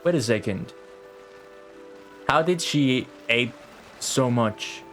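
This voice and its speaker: A man giving casual, halting, reflective livestream commentary on a video game in an informal way. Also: mid-range voice, expressive, a bit bright. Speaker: game streamer. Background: faint game music.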